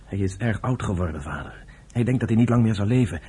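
A man's voice: lowish voice, expressive, fast talker, mellow, husky. An actor playing an easygoing, earnest young man, a son and brother, in a radio drama with informal, sincere delivery. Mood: earnest, concerned. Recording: noisy old radio play recording.

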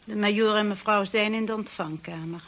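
A woman playing a cold, formal housekeeper in a radio drama, deferential and measured. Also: mid-range voice, steady tone, fairly bright tone, natural voice. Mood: formal, cool.

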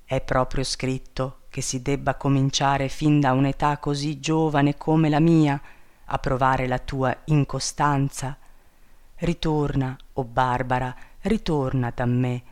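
A storybook reader voicing a young imprisoned princess in a plaintive, theatrical lament, talking slowly. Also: medium pitch, little pitch movement, natural voice. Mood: sorrowful. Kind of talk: storybook reading.

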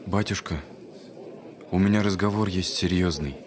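An actor playing a gentle, devout young son, soft-spoken, humble and earnest, in a Russian audio drama. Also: male voice, deep voice, normal speed, lightly acted.

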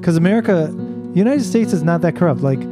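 Seventies man, radio co-host, hesitant rambler, slightly high voice over loud guitar. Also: animated, quick pace. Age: 70s. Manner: rambling, hesitant, laughs often. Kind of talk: casual banter. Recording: loud guitar music behind.

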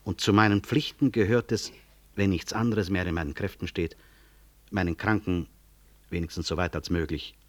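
A middle-aged physician in a 1960s radio drama. His voice is low and his phrasing careful and formal. He stays courteous and gently ironic, yet never yields. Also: fairly even intonation. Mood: firm, controlled. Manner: formal, measured, precise. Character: dignified male medical professor, courteous but unyielding, gently ironic.

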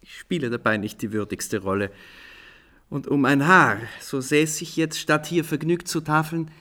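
A man's voice: a little high, moderate pace, bright, forceful tone. An actor playing a cheerful, self-mocking composer from the eighteenth century, telling his tale in a florid, courteous way in a German radio play. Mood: playful, confessing.

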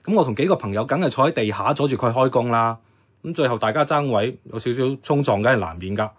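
A man's voice: mid-range voice, animated, fast talker, breathy edge. A man acting an idealistic, stubborn young activist with a Hong Kong Cantonese accent, earnest and persuasive. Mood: apologetic, explaining. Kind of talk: radio drama acting.

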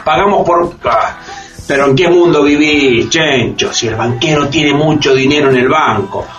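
An actor playing a streetwise know-it-all neighbour with a Latin American voseo accent, explaining with emphatic exasperation in a comic radio drama. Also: male voice, fairly high pitch, normal speed, lightly acted. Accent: Latin American Spanish with voseo. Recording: dull, distant radio recording, faint music.

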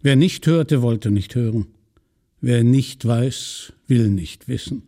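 A man narrating and announcing a radio drama in a solemn, measured way with emphatic pauses. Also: medium pitch, warm tone. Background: faint music.

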